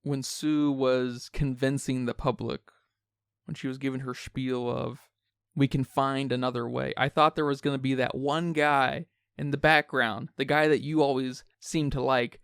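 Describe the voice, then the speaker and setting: A man's voice: mid-range voice, fairly even intonation, moderate pace, a bit bright, clear, resonant tone, no room sound, studio quality. A man co-hosting a podcast, talking through a film in a casual but analytical, reflective way. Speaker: podcast co-host.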